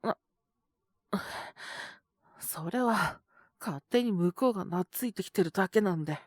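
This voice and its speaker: A woman's voice: medium pitch, unhurried, no room sound, studio quality, close to an everyday voice. A woman voicing a sick, tired young male teacher in an audio drama, brusque and sighing, with a weary mutter. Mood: curt, weary. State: feverish, coughing. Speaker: voice actor. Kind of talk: Japanese situation-voice audio drama.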